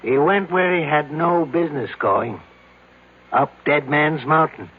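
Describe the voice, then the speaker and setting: An actor playing a grave, baffled doctor in a 1970s radio drama, speaking in a measured, ominous way. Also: male voice, on the high side, husky. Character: grave, baffled doctor warning of a haunted mountain. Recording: muffled noisy old radio broadcast.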